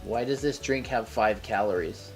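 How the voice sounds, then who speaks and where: A man's voice: fairly low pitch, moderate pace, faint music behind. An American man chatting casually on a livestream, rambling through stories and reading viewer comments aloud. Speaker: livestream co-host reading chat.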